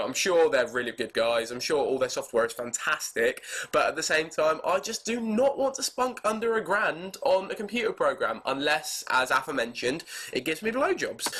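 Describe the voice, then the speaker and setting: A British man speaks into a webcam while he has a cold, so his voice comes out stuffy and hoarse. His tone stays bright and slightly sharp. He rambles in a casual, self-deprecating way and throws in the odd crude joke. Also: on the high side. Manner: chatty, crude, self-mocking. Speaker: vlogger. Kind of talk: rambling casual monologue to camera.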